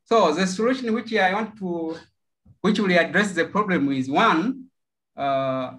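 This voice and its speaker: A man from Tanzania, giving a prepared project pitch over a video call. He speaks haltingly, with many fillers and repeated words. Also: fairly bright tone. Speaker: project pitcher from a local NGO.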